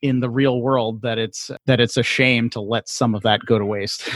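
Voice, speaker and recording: A middle-aged man with a mid-pitched voice, recorded dry without room sound. He hosts a casual interview, speaking informally and a little hesitantly. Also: steady tone, normal speed, a bit bright, no room sound. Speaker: podcast host, interviewer.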